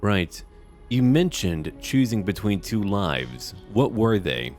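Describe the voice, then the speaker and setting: Low-ish male voice, roleplay let's play guy, calm and musing to himself. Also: soft background music. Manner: measured, musing, talks to himself. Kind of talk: narrated roleplay gameplay commentary.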